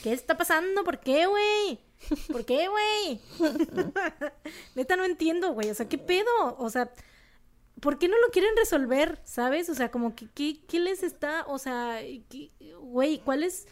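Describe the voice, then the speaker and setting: A woman's voice: sits high, a little slow. A young woman with a northern Mexican accent from Monterrey, co-hosting a podcast and telling a true crime story in a slangy, emphatic, casual way. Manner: slangy, emphatic, animated outbursts.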